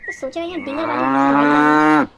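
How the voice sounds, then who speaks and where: A woman's voice: sits high, fairly even intonation, fairly quick, vocal fry, over a noisy crowd. Woman voicing a fussy older village mother-in-law. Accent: rural North Indian. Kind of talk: cartoon story dialogue in rustic dialect.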